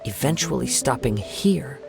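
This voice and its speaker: A calm, formal investigator. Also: male voice, mid-range voice, gravelly, over music. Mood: thoughtful. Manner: measured, formal, reassuring. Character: calm, composed male investigator, young adult. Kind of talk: video game voice acting.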